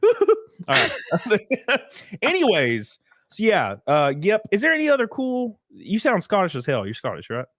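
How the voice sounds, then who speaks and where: Southern-accented male host, lively pitch, dry close sound; casual stream banter. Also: unhurried, dry recording. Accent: Southern American. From southern United States. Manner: animated, laughing, reads viewer chats aloud. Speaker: podcast host. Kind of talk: casual livestream chat.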